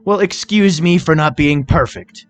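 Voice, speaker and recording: A man's voice: a little high, measured pace, voice pushed up, natural voice. A smug pony thief and ringleader, voiced by a man. He talks with an arrogant, mocking sneer, as a cocky crook in a storybook tale. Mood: irritated.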